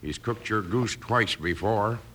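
Deep, menacing western gunslinger. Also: male voice, measured pace, a bit bright. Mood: cocky. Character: menacing, cocky big gunslinging ex-convict. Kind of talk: old-time western radio acting. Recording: faintly noisy vintage radio recording.

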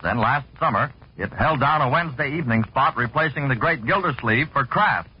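Husky, animated vintage radio announcer man. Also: medium pitch, fairly quick. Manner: polished salesman-like delivery. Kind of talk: 1940s promotional scripted narration. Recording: dull vintage radio recording.

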